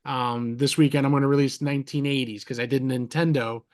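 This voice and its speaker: A man hosting a podcast over a video call, rambling warmly, restarting his sentences and heaping compliments on his guests. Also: medium pitch, fairly even intonation, brisk. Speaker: podcast host. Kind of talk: casual interview chat.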